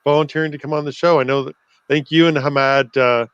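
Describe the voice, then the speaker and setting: A man's voice: fairly high pitch, steady tone, fast, fairly bright tone, clean tone, no room sound. A Canadian man hosts a casual, friendly interview. His voice is captured close and dry, with the slightly dull sound of a video call. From Vancouver, Canada. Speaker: co-host interviewing a guest.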